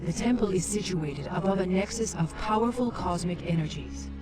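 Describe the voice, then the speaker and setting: A woman acts as an ancient female alien leader from a video game, grave and stately. Her speech is formal and measured, like a wise elder's oratory, with prominent music behind it. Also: on the low side, close to an everyday voice. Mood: grave, commanding. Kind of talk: scripted video game dialogue.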